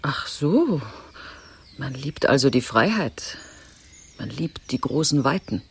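An actress playing a self-assured, patronizing zoo director in a German radio drama, declamatory and condescending. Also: female voice, rumbling low, a little mellow, husky, heavily acted. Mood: scornful. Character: Andrea Burden, grandly self-assured, patronizing woman running a zoo project.

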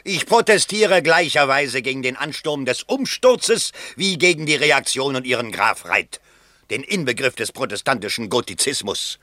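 A man's voice: medium pitch, expressive, moderate pace, bright, forceful tone, dry recording, clean studio sound. A man playing a pompous, crafty small-town political candidate in a 1970s German radio play, speaking in a declamatory way. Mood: indignant.

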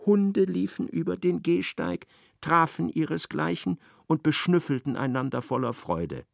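A man's voice: on the high side, animated, measured pace, creaky, no echo, studio quality. A man reading scripted literary narration as the narrator of a radio play.

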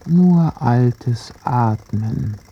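A woman narrating a poetic radio drama in a slow, hushed and reflective way. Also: extremely low pitch, lively intonation, slightly rough, dull audio.

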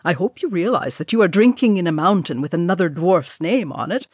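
A woman's voice: on the low side, expressive, brisk, slightly rough, dry recording, studio quality. A woman voicing a young male dwarf warrior in a storybook reading, hearty, bragging and teasing. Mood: boastful, joking.

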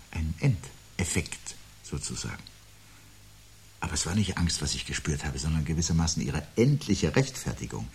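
An actor playing a brooding, anxious man who prophesies the end of life, speaking gravely and deliberately with a husky voice in a 1970s radio play. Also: low voice, full character voice. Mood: haunted, brooding. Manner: grave, deliberate, articulate, philosophical monologuing.

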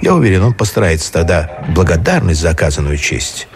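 Deep, expressive, diplomatic statesman. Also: brisk. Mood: cautious, persuasive. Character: deferential, diplomatic prime minister bringing bad news. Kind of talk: Russian radio drama acting.

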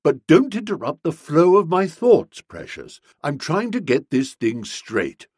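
A man voicing a flustered, pompous young English gentleman writer in an audiobook, wordy and self-justifying, with affectionate upper-class slang. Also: fairly high pitch, very animated, a little slow, slightly rough, no room sound, studio quality, pitched above the performer's own voice, heavily acted. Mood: flustered, placating.

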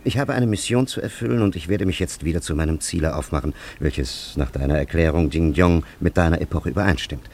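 An actor playing a dignified, pleasure-loving time traveller from an ancient land in a radio drama, with a gravelly, husky voice and lofty, formal diction. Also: male voice, rumbling low, fairly quick, few pauses, a little mellow. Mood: stately, courteous. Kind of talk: German radio drama acting.

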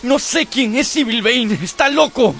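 An actor playing a youthful-faced, haunted gentleman in a radio drama, speaking in polished, theatrical, formal phrases. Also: very high voice, animated, a little slow, soft background music, full character voice. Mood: panicked, pleading. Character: Dorian Gray, youthful-faced, haunted, well-bred gentleman. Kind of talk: Spanish-language radio drama acting.

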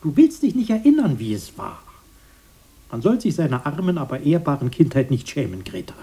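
Radio drama: bitter, domineering brother, husky and swooping, insistent and mock-earnest. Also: male voice, fairly high pitch, big pitch swings, a little fast, mellow. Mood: indignant. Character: bossy, bitter brother recalling a poor childhood.

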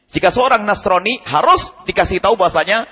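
A man giving a lively, emphatic religious study lecture, repeating his key points and chuckling now and then. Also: high voice, brisk. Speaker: preacher. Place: study gathering hall. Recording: radio broadcast with long hall reverb.

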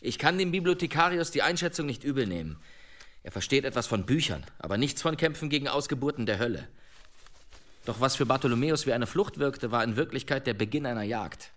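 A man's voice: mid-range voice, lively intonation, brisk, a bit bright, natural voice. Guy playing a wounded demon hunter, medium voice, proud n defiant, grand old-fashioned radio play talk. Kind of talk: German historical radio drama acting.

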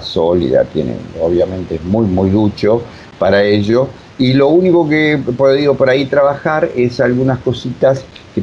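A man with a Rioplatense Argentine accent talks casually as an interviewed director, rambling emphatically and searching for words. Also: a little mellow. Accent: Rioplatense Argentine Spanish. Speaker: radio drama director being interviewed. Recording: muffled, low-fi with faint noise.

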